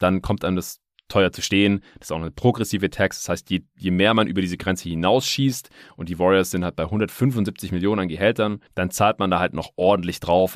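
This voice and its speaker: A man's voice: low-pitched, no room sound, clean studio sound. A man hosting a basketball podcast in casual talk, explaining things colloquially with English sports jargon mixed in.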